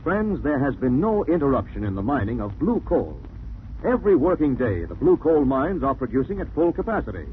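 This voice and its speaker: An American man delivers vintage radio announcements with formal emphasis. His medium-pitched voice rises and falls widely. The recording is tinny, with faint noise. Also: sing-song, brisk. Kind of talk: 1940s radio announcing, scripted narration and sponsor message.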